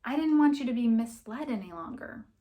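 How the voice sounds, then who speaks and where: A woman's voice: a little high, lively intonation, a little fast, dark tone. Woman reading as an apologetic, sheepish boyfriend. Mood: earnest apology. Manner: hesitant, placating, earnest.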